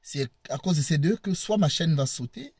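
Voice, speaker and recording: A man with a cold talking casually to his viewers from a parked car, halting and repeating words, often laughing. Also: fairly high pitch, expressive, no room sound, studio quality. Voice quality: husky. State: hoarse with a cold. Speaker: video blogger.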